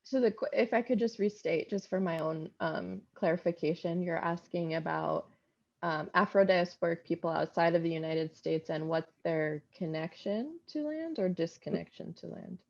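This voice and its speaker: A woman on a panel speaks with a very low, gravelly voice, picking her words slowly and filling gaps with soft hesitations. The sound comes through a video call and is dull and lo-fi. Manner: thoughtful, halting, many fillers. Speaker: panelist, philosopher. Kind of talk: academic panel answer.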